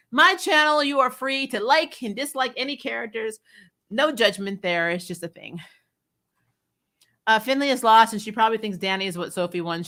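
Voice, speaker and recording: A woman's voice: a little high, pushed and bright. A woman hosting a casual, opinionated TV review, speaking candidly and emphatically in a conversational way with some mild swearing. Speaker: host of a TV show review channel. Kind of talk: casual, opinionated episode commentary.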